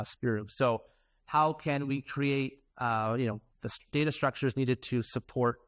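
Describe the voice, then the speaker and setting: A man's voice: mid-range voice, no echo. A man presenting at a technical conference in an informal, conversational way, with casual asides. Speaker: conference presenter, software developer.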